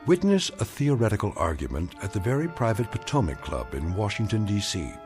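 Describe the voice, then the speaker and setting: An actor playing a grave, knowing narrator of eerie tales, delivering deliberate, suspenseful narration. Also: male voice, fairly low pitch, sing-song, a little mellow, soft background music. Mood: ominous. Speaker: narrator of a radio anthology drama. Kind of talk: dramatic scripted narration.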